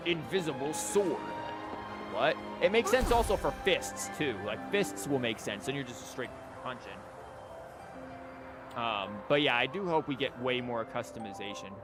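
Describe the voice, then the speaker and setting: Male streamer, casual rambling gameplay commentary, medium pitch, prominent music behind him. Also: animated, moderate pace. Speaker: game streamer. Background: game music.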